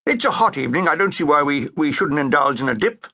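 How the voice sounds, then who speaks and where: Man putting on a British major, blustering, jovially bossy, high, sharp bark, comic audiobook. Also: very animated, fast, heavily acted. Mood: breezy.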